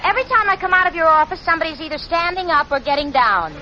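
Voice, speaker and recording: A woman's voice: very high voice, expressive, racing, over a noisy crowd, heavy background noise. An actress playing a sensible, wisecracking young working woman in a 1940s radio sitcom, dry and articulate. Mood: indignant, wry. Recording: muffled old radio recording with audience.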